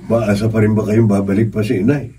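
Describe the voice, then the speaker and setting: A man's voice: lowish voice, little pitch movement, fairly quick, dark tone, slightly rough, distant mic. A man acting an earnest, regretful young adult elder brother in an emotional Filipino radio drama. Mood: somber regret.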